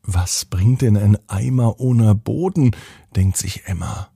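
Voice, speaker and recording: A man's voice: fairly low pitch, takes it slowly, creaky, warm tone, husky, no room sound. A man hosting a children's podcast, narrating a bedtime story expressively and speaking directly to children.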